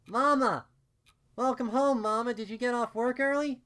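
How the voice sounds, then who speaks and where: A tiny, innocent unicorn filly acted out by a man for a storybook reading. The voice is pitched way up and bursts out eagerly. It chatters in cheerful, childlike baby talk. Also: steady tone, moderate pace, bright, forceful tone, smooth. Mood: overjoyed. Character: excitable little unicorn filly, sweet and innocent. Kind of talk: storybook character voice.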